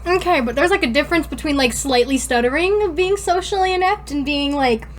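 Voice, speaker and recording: A woman's voice: high-pitched, fairly quick. A woman co-hosting a podcast, chatting casually and reading fan fiction aloud in an irreverent, slangy way. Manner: irreverent, slangy, swears freely. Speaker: podcast co-host.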